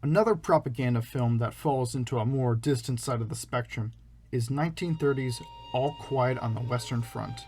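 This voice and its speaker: A man's voice: mid-range voice, normal speed, a little mellow. Man narrating over music. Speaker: video essay narrator. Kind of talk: scripted narration.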